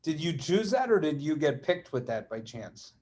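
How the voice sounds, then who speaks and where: A man's voice: on the high side, very animated, normal speed, darkish tone. A man hosting an interview, reading an introduction and then asking friendly questions. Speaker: interview host.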